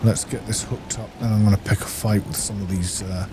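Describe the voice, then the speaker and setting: A man's voice: lowish voice, steady tone, fairly quick, noisy background. A man giving casual, understated gameplay commentary, half talking to himself as he plays. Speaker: gaming video host. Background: game music.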